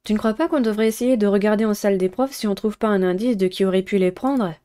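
Woman playing curious teen girl in a visual novel, earnest, soft mellow voice. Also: mid-range voice, quick pace, smooth, natural voice. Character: eager, curious teenage schoolgirl heroine of dating game.

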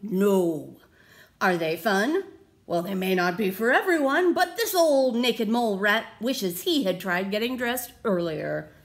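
A woman's voice: medium pitch, expressive, a little slow. A wise old grandpa mole rat, voiced by a woman reader holds forth like storybook royalty. The delivery is stately, heroic and emphatic, with plenty of rise and fall. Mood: proclaiming. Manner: stately, proclaiming.

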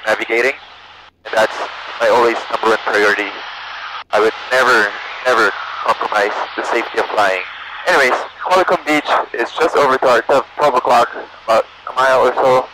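A man's voice: fairly low pitch, background noise. A young man, a student pilot, narrating his flight casually and making radio calls over an aviation headset. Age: young adult. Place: small propeller plane cockpit in flight. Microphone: aviation headset boom mic. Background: music.

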